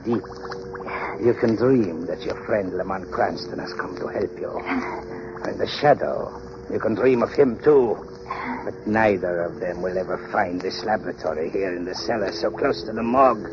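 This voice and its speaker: Gravelly, sinister mad doctor. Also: male voice, low-pitched, moderate pace. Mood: cold gloating. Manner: melodramatic, sinister, taunting. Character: villainous doctor, boastful, cold, murderous male scientist. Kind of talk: 1930s radio drama acting. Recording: muffled, noisy 1930s radio recording.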